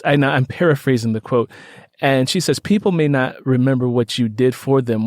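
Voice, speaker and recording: A man's voice: medium pitch, darkish tone, dry recording. Man hosting a chatty, close-miked interview. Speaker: podcast host. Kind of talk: conversational interview.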